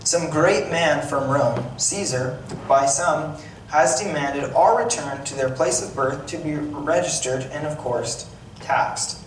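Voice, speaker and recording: A man's voice: a little high, takes it slowly. A man reading aloud as a plain-spoken, wry, slightly grumbling village potter, in a conversational storytelling way with occasional stumbles. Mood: matter-of-fact. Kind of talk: dramatic monologue read aloud. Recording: echoey room, distant mic, noisy background.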